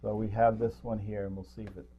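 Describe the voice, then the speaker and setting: A man's voice: fairly low pitch, flat delivery, fast talker, dark tone, lo-fi sound. A man co-hosting a movie review show, chatting casually in a rambling, jokey way. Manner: rambling, jokey, chuckles at own remarks. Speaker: co-host of a local film review show. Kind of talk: casual unscripted chat about movies.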